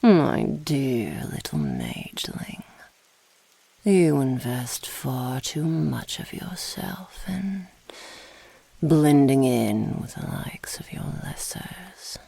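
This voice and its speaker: A woman voicing an imperious, gloating dark mage in a fantasy ASMR roleplay, with a whispery, gravelly voice and haughty, archaic diction. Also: extremely low pitch, sing-song, drawn-out words, no echo, exaggerated voice. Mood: taunting, cruel.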